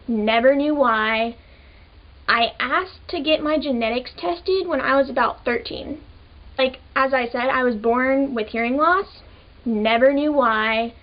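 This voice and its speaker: A young woman of twenty with a high voice, talking to camera in a relaxed, chatty way and leaning on "like" between thoughts. The audio sounds a little dull and muffled. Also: measured pace. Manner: casual, chatty, many "like" fillers. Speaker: vlogger. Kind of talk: personal storytelling to camera.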